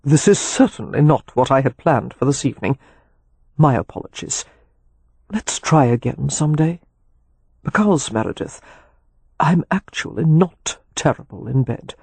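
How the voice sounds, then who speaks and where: A narrator puts on a wry gentleman rake in an audiobook. He is affectionate and teasing, speaking with dry nonchalance in a husky voice, with no room sound at all. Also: mid-range voice, animated, measured pace, pauses often, dry recording, full character voice. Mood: rueful. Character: Leo, wry, rakish, affectionate gentleman brother. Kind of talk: audiobook character dialogue.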